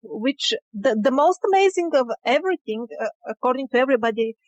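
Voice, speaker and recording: A woman's voice: high-pitched, animated, fairly quick, darkish tone, no room sound, dull audio. A woman interview guest explaining her field findings conversationally, with emphatic but hesitant phrasing. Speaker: interview guest, independent researcher.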